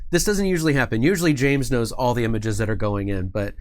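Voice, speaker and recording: A man's voice: medium pitch, fast talker, no room sound. A man hosting a casual livestream interview in an agreeable, easygoing conversational way. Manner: agreeable, chuckles and chimes in often. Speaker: host.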